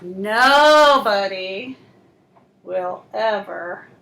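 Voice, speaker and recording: Woman voicing a slow, sneaky little storybook fish. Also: medium pitch, animated, gravelly, fairly bright tone, slight background noise, exaggerated voice. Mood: smug. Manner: confiding, deliberate storybook delivery. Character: small sneaky fish, boastful, self-assured hat thief. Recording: roomy, echoing indoor sound.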